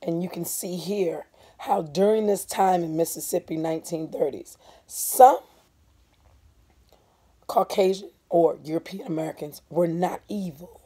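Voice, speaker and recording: A hoarse woman with a cold, reading a novel dramatically as a teacher, deliberate and emphatic, with instructive asides. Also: fairly low pitch, dark tone. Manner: deliberate, emphatic, pausing on key words.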